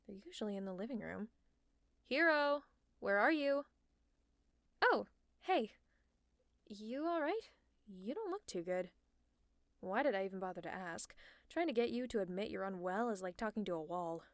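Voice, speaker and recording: A woman's voice: medium pitch, expressive, moderate pace, dry recording, studio quality, close to an everyday voice. A woman playing a protective, teasing female villain in a scripted ASMR roleplay, with a sardonic, exasperated but caring manner. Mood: worried, bossy. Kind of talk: scripted roleplay ASMR, one-sided dialogue.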